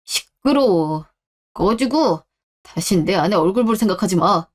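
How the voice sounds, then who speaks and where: A woman's voice: medium pitch, expressive, unhurried. A woman voicing a protective adult husband in a radio drama, with a firm, blunt and earnest delivery. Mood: angry. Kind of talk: Korean radio-drama story acting.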